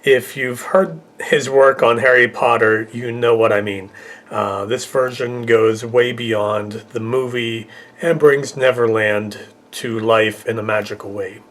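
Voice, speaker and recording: A man's voice: mid-range voice, fairly even intonation, measured pace, fairly bright tone. A man hosting a video, presenting a list in a casual, scripted way. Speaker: video host.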